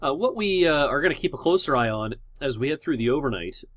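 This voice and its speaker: Man, meteorologist, hoarse with a cold, casual forecast talk, lots of filler pauses, dry close sound. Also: medium pitch, no echo. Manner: relaxed, unscripted, frequent "uh" fillers and restarts. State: hoarse from a cold and sore throat. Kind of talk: casual weather forecast video.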